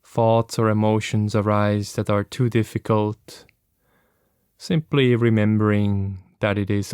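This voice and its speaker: A man leads a guided meditation in a clear, smooth voice recorded very dry and close. His instruction is gentle and very slow, with long pauses between phrases. He sounds like a practiced teacher taking listeners through a body scan. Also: fairly low pitch, dry recording.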